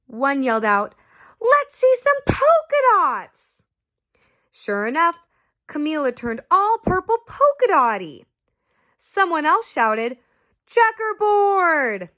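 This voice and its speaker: Slow, expressive woman reading to kids. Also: on the high side. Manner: expressive, asks listeners questions. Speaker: story reader. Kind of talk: reading a picture book aloud to children.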